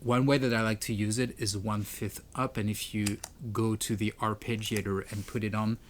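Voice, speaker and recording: A man's voice: lowish voice, little pitch movement, moderate pace, a little hiss or hum. A man presenting a music gear tutorial in a casual, explanatory way. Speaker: presenter demonstrating a music pedal. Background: keyboard and synth demo notes.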